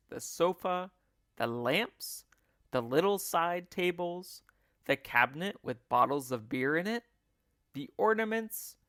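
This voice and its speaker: A man reading a children's story aloud as a teacher, in a measured, expressive narrating style. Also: high voice, no room sound, studio quality.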